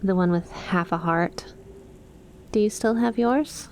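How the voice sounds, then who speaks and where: A woman's voice: mid-range voice, slow pace, a little mellow, a little hiss or hum. A woman playing an affectionate young snake-girl best friend in an ASMR roleplay, teasing and chummy as she talks to the listener. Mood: sincere, chatty.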